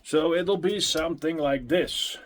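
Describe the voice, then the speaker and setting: A young Dutch-accented man talks into his phone held at arm's length in a small home studio lined with acoustic foam. He is chatty and self-deprecating and swears casually, and he sounds a little out of breath from running up and down the stairs. His voice sits slightly higher than most men's. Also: unhurried. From Netherlands. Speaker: music producer vlogger. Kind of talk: casual vlog talking to camera. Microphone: handheld phone at arm's length.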